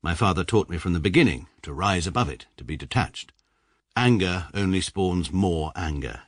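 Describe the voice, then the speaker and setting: Pompous middle-aged barrister, very low husky tone, dry close sound, sarcastic and measured. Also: male voice, animated, no echo. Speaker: barrister narrating his own story. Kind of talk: radio comedy drama narration.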